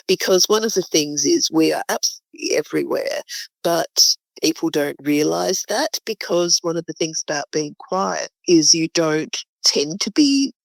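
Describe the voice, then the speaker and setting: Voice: mid-range voice, vocal fry, dry recording. A group founder talking casually in an interview, in a hesitant, thoughtful way.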